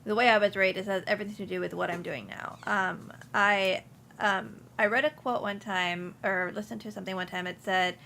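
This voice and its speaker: Young woman with a gravelly, bright voice. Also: medium pitch, fairly quick, a little hiss or hum. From Houston, US. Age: young adult. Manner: relaxed, many ums and restarts. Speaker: insurance agency principal, guest. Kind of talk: casual interview conversation.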